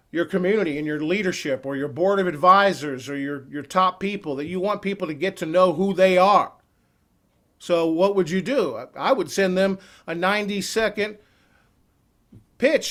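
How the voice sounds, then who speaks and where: A man in his forties giving an upbeat, salesy screen-share walkthrough as a software trainer on a computer call. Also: high-pitched.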